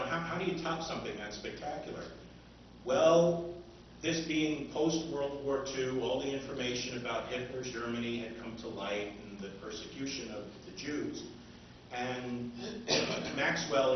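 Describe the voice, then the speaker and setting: Distant, echoey man giving an informal lecture. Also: mid-range voice. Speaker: author giving a talk on old-time radio history. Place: convention room, distant microphone. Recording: muffled, noisy, echoey hall recording from far off.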